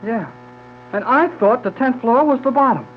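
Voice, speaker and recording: High-pitched, brash young adventurer. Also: male voice, normal speed, slight background noise. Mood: wry, excited. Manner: slangy, breezy, excitable. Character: brash, wisecracking, eager young male adventurer. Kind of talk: 1930s radio serial acting. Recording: dull, humming 1930s radio recording.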